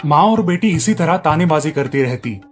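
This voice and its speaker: A man's voice: on the high side, lively intonation, normal speed, soft background music. A man reading scripted narration for a moral family story.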